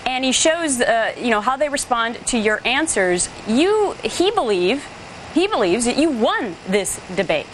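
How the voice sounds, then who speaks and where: A woman's voice: a little high, big pitch swings, unhurried, a little hiss or hum, low-quality recording. An American woman speaking as a television news anchor, putting questions in a broadcast interview.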